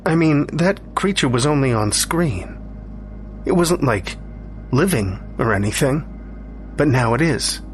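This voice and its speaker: A man's voice: medium pitch, animated, unhurried, pauses often, soft background music, voice pushed up, close to an everyday voice. A man voicing a skeptical, uneasy young wife in an audio story, with slangy, hesitant, questioning speech. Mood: amazed, doubtful.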